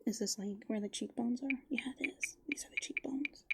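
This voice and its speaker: A soft-spoken woman doing rambling, playful ASMR as a raccoon, musing aloud and often slipping into whispers. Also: on the high side, a little fast, slightly rough. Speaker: ASMR host. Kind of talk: furry roleplay ASMR, improvised rambling.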